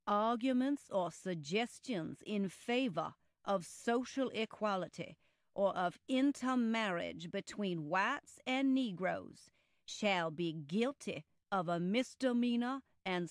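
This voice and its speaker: A woman reads old legal text in a formal, measured way. Her pace is slow and deliberate, and the sound is close and dry, with no room around it. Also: medium pitch, dry recording. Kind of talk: solemn reading of historical legal text.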